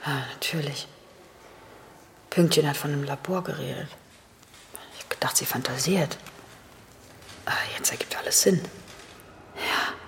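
An actress playing an anxious, protective older sister in a radio drama, breathy and often dropping into whispers. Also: female voice, low voice, measured pace, pauses often, fairly bright tone. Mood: troubled realisation. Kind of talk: German radio drama acting.

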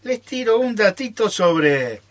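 A man's voice: high voice, very animated, takes it slowly, exaggerated voice. A man with an Argentine accent acting a stubborn, teasing keeper of a secret recipe in amateur radio theatre, with coy, theatrical delivery. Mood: playful.

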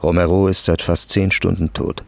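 A low-voiced, commanding police chief speaks in short, sober statements. It is crime radio drama, with music running clearly behind the voice. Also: male voice, flat delivery, moderate pace. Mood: sober, determined. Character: terse, commanding male police chief of a drug squad.

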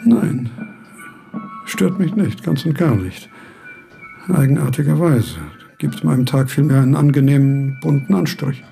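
An actor playing a fussy old philosophy professor in a radio play, formal, courtly and pedantic. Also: male voice, mid-range voice, a little slow, gravelly, pauses often, warm tone, soft background music. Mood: contented. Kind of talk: German radio play acting.

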